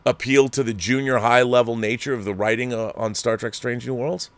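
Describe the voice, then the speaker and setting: A man's voice: mid-range voice, steady tone, brisk, fairly bright tone, dry recording. A middle-aged man hosting a commentary show, ranting sarcastically and with exasperation. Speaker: show host.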